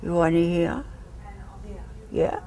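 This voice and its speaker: A woman in her eighties recounting her past in slow, halting, broken English. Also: fairly low pitch, animated, dark tone, poor audio. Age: 80s. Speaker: Holocaust survivor interviewee. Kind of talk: recalled testimony.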